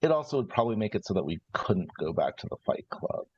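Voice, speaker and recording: A man improvising a calculating brother from a ruthless 1950s family in a tabletop roleplay, speaking in measured, halting phrases. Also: low voice, big pitch swings, darkish tone, breathy edge, no room sound, heavily acted. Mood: cautious, pragmatic. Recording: slightly dull audio with music. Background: background music.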